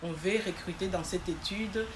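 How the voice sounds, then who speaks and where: A woman from Congo, raised in Belgium with Tshiluba at home, gives conversational interview answers. Her voice sits a little low, and the recording is echoey with faint background noise. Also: normal speed. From born in Congo, grew up in Belgium. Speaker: podcast guest, clinical research manager.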